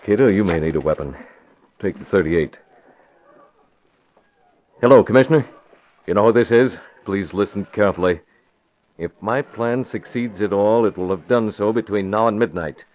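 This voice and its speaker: A man's voice: lowish voice, moderate pace, long pauses, lightly acted. A man playing a resolute, commanding American masked crime fighter in a classic radio drama, speaking tersely. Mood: urgent, resolute. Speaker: lead actor in a radio drama. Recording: muffled old radio, faint background noise.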